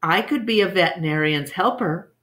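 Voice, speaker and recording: A woman's voice: on the low side, fairly quick, a bit bright, natural voice. A woman voicing an earnest, hopeful country boy with a plain-spoken, eager manner in a storybook. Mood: tentative.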